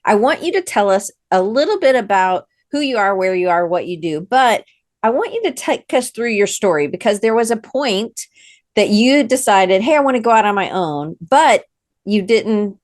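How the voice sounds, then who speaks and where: A woman's voice: medium pitch, animated, moderate pace, no echo, studio quality. Bubbly American woman hosting a podcast. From United States. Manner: bubbly, rambling, with restarts and laughs. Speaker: podcast host. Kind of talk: casual interview hosting.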